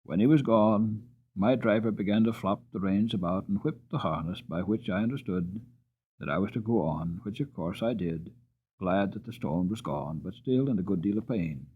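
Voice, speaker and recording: A man's voice: fairly low pitch, husky. A man reading a classic story aloud dramatically, stretching words for emphasis. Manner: expressive, drawn-out emphasis. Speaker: audiobook reader. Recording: tinny phone-like audio.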